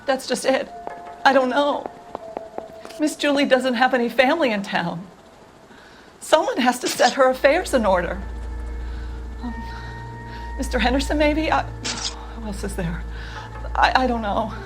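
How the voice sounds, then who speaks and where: An actress playing a guarded, proper housekeeper in a video game, with a hesitant and evasive manner. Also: female voice, sits high, animated, a little slow, pauses often, rough recording. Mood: flustered. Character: guarded, flustered female housekeeper, proper yet gossipy. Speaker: domestic worker. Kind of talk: video game cutscene acting. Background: music.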